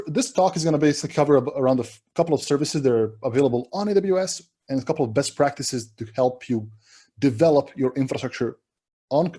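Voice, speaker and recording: A man from Serbia, a relaxed, chatty conference speaker giving a talk over a video call. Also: mid-range voice, husky. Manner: relaxed, chatty, self-deprecating.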